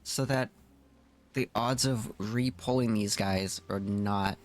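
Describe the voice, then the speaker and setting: Slow-talking man thinking aloud while gaming. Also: fairly low pitch, steady tone. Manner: muttering to himself in short fragments. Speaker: gaming streamer. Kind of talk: casual gameplay commentary, thinking aloud. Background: background music.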